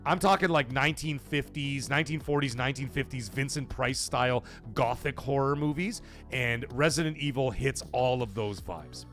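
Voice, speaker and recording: A man's voice: medium pitch, normal speed, few pauses, faint music behind. Chatty man hosting a game livestream. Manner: rambling, animated, answers chat by name. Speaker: livestream gaming show host. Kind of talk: casual livestream chat reading chat comments.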